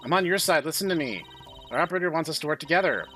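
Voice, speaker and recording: A man's voice: on the high side, expressive, rapid-fire, a bit bright, faint music behind. A man voicing an earnest, insistent friendly virus girl from a visual novel game, eager to please. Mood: pleading. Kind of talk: reading visual novel dialogue aloud.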